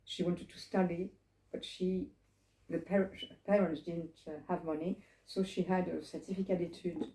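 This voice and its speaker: A woman's voice: lowish voice, level tone, normal speed, warm tone. An elderly woman from France, speaking English, telling her life story to a small group in a reflective, digressive way and asking them questions. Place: living room. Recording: roomy living room.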